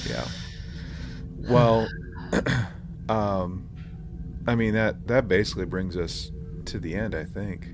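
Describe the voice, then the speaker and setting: A man's voice: low voice, expressive, takes it slowly, pauses often. A man co-hosting a podcast in a casual, laid-back chat. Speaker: podcast co-host. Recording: clear background noise throughout.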